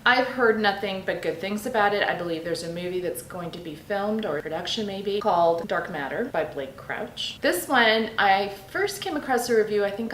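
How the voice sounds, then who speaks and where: A woman's voice: mid-range voice, fairly bright tone, a little hiss or hum. Woman, 40s, from Serbia; casual book vlogger, enthusiastic, laughs a lot; roomy echo. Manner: chatty, enthusiastic, laughs often. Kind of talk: casual book haul chat.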